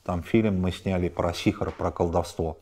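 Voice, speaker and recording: A man in his forties, a film director answering interview questions in an animated way, stacking examples with rising emphasis, recorded seated in a high-rise apartment at night. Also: a little slow. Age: 40s.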